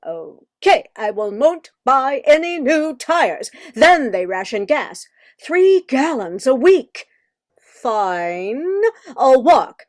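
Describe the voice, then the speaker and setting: A woman acts out a controlling 1940s mother for a radio play. Her voice is high and swoops widely, close and dry. She is fussy, emphatic and quick-witted in her exasperation. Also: big pitch swings, no room sound, heavily acted. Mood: indignant. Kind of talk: amateur radio play acting.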